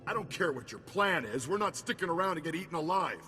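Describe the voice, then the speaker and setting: Game crew boss: angry, defiant man, high sharp voice, reverberant over music. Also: lively intonation, quick pace. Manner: forceful, blunt. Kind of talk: scripted video game acting. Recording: long reverb, music underneath.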